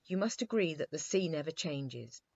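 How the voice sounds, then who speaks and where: A woman's voice: deep voice, expressive, moderate pace, vocal fry, dark tone, lo-fi sound, heavily acted. A woman voicing a petulant, haughty little boy king in a children's storybook reading. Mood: sulky. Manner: cross, haughty, complaining.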